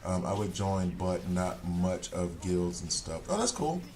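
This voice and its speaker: A man's voice: low voice, big pitch swings, a little slow, darkish tone. A man streaming a game, chatting casually with viewers in a rambling, talkative way and reading chat aloud. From Switzerland. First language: not German. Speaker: gaming streamer.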